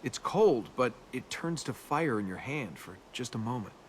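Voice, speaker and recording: A man's voice: mid-range voice, animated, moderate pace, natural voice. Guy acting young rogue adventurer for a game, cynical n wry, casual delivery, some background ambience noise. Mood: wistful. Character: cynical, roguish young male adventurer and tomb robber. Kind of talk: naturalistic video game dialogue.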